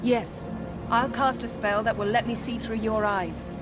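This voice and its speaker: An actress playing a confident, commanding sorceress in a game cutscene, giving brisk instructions. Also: female voice, on the high side, fairly even intonation, natural voice. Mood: instructive. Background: loud game score music.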